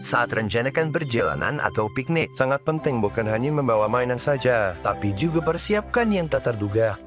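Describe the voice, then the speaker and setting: Guy narrating the little lesson at the end of a kids cartoon, calm, music playing. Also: medium pitch, moderate pace.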